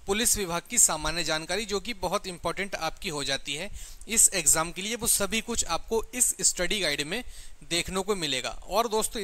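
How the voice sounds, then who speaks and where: A man's voice: high voice, bright, forceful tone. A man giving an informal promotional walkthrough of study books, repeating himself and checking in with the listener.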